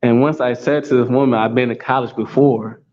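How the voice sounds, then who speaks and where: A man's voice: medium pitch, steady tone, fairly quick, a little mellow. Casting: American man, solo talk host, emphatic and repetitive, mid-range voice, slightly dull lo-fi audio with faint background hum. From United States. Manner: repetitive, emphatic, restating points. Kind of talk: solo monologue.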